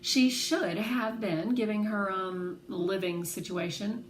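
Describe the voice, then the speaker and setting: A woman's voice: mid-range voice, fairly even intonation, takes it slowly, natural voice. A woman voicing a curt, skeptical hospital nurse in a children's storybook, clipped and wary. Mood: softened, hesitant. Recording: roomy echo, faint background noise.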